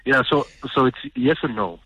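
A South African man chatting casually as an interview guest, informal and halting, over a call-in line. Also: medium pitch, expressive, pushed and bright, no echo. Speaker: interview guest, animator or comic writer. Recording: dull, band-limited radio call-in line.

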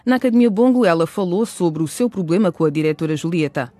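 A man's voice: high voice, lively intonation, fast talker, dark tone. A man reading scripted narration as the storyteller of a radio drama series.

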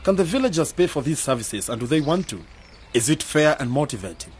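Skeptical business planner pal in radio drama, challenging, pitch moves around a lot, bit of noise. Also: male voice, big pitch swings, fairly quick, darkish tone. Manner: challenging, matter-of-fact. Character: skeptical, probing young man, pragmatic business planner friend.

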